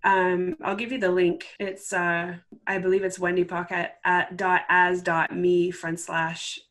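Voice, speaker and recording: A woman's voice: fairly low pitch, little pitch movement, unhurried, a bit bright. A woman, speaking as an interview guest and coach, earnest and conversational, acting out quoted exchanges as she explains. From Canada.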